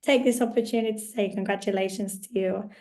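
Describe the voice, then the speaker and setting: A woman's voice: medium pitch, fairly even intonation, quick pace, a little mellow. Woman podcast host with a worn voice. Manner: friendly, rambling with restarts. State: recovering voice after illness. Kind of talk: casual podcast interview.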